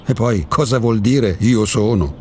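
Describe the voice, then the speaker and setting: A man's voice: lowish voice, a little slow, warm tone, slight background noise, close to an everyday voice. An actor playing an elderly reclusive male ghost in a radio drama, a wry and contrarian philosopher with a theatrical, emphatic delivery. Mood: insistent.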